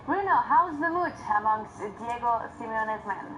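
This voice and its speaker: A woman's voice: fairly high pitch, expressive, a little slow, fairly bright tone, faint music behind, low-quality recording. A woman presenting scripted sports news as an anchor.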